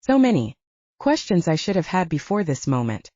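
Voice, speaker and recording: A woman narrating a first-person audiobook in a lively storytelling manner, lightly voicing the dialogue. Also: on the low side, normal speed, no room sound, dull audio.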